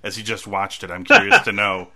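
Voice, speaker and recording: A man's voice: lowish voice, sing-song, brisk, vocal fry, bright, forceful tone. A man hosting a casual chat about movies, laughing and restarting his sentences.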